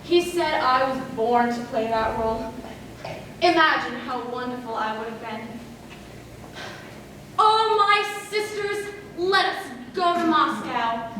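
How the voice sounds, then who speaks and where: A woman acting in a stage play as a vain, self-dramatizing movie star in her forties, with a grand theatrical manner. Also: high-pitched. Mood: grandiose. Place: stage in a large hall, far from microphone. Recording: distant, echoey, noisy stage recording.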